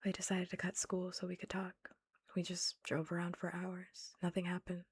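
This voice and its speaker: A woman's voice: on the low side, completely flat delivery, normal speed, slightly rough. A woman whispering the lines of a stubborn, defiant teenage girl in a book reading.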